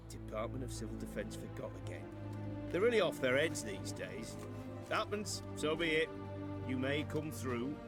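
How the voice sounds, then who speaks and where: Game gate guard, male actor: officious but easily swayed, hesitant grumbler, mid-pitched, music behind. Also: sing-song, normal speed, lightly acted. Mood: resigned, grumbling.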